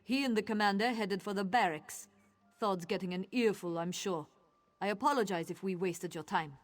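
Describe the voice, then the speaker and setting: An actress playing an easygoing but dismissive town guard in a fantasy video game, brisk and dryly sarcastic. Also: female voice, medium pitch, dry recording, soft background music, lightly acted. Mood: dismissive, wry.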